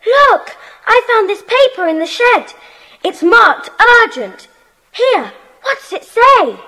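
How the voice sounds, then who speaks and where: A woman playing an eager, inquisitive little British schoolgirl in a children's audio drama, excitable and full of questions. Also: extremely high pitch, slow pace, heavily acted. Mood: urgent, excited.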